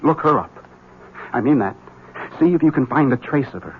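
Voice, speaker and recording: A man's voice: medium pitch, expressive, a little fast, a little hiss or hum, close to an everyday voice. An actor playing a haunted, desperate man who urgently warns a rival, in a 1970s radio drama. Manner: tense, urgent, bursts of agitation. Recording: dull 1970s radio broadcast.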